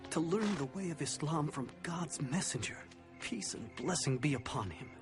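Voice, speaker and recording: A man playing a reverent believer, narrating an animated film in a scripted storytelling style. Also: on the high side, moderate pace, lightly acted. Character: reverent believer recounting early days of faith. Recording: music behind the voice.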